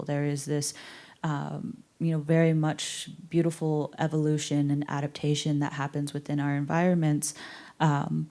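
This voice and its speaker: Brief: young woman, very low and even voice, mellow tone, reflective and measured. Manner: measured, thoughtful, with fillers. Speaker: artist in conversation. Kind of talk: reflective conversational talk.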